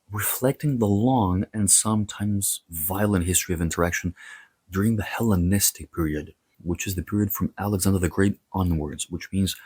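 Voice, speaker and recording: Male lecturer, low husky voice, dry close sound, explanatory delivery in measured short phrases with informal asides. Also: a little mellow, no echo. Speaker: host of a history and mythology series. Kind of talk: scripted lecture with casual asides.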